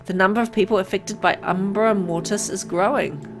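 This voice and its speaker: Caring, stubborn young woman, acted by a female reader, brisk and affectionate, visual novel, music underneath. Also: medium pitch, natural voice. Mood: worried. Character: caring, stubborn young woman visiting her sick partner.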